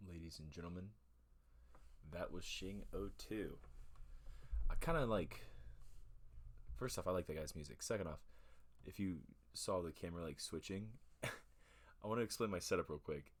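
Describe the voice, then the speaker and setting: A man's voice: low voice, normal speed, pauses often. A man hosting his own online music show from home, chatting casually and rambling with lots of fillers. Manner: rambling, relaxed, with many fillers. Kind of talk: casual chat. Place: home room with a gaming chair. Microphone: laptop setup with a homemade camera mount.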